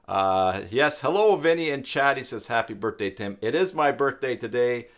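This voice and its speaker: A man in his fifties speaks as the host of a live tutorial stream. His voice sits at an average pitch for a man. He talks in a casual, conversational manner and tends to ramble off into asides as he explains things. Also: animated, moderate pace, a bit bright. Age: 50s.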